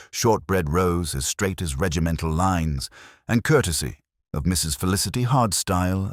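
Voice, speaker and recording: Male narrator, very low voice, lively intonation, dry wit, close dry sound. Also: no echo. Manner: dry, measured, wry mock-gravity. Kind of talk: scripted storybook narration of a comic village mystery.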